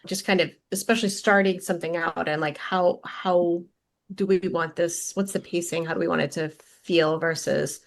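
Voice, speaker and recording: Female, slightly low register, informal cast-panel conversation. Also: steady tone, normal speed. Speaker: voice actor. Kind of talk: casual panel chat.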